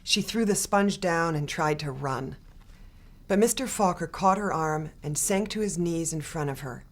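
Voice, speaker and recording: A woman reading a children's picture book aloud in a gentle, expressive storytelling manner. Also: low voice, a little slow. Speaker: storybook reader. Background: soft guitar music.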